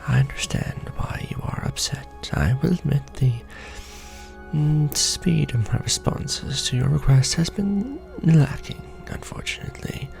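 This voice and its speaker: A man playing a courtly, ancient vampire lord in an ASMR roleplay, speaking intimately and formally in a gravelly, often breathy half-whisper with measured pauses. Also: on the high side, animated, darkish tone, heavily acted. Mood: apologetic, courteous. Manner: formal, archaic wording, measured delivery with pauses. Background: music.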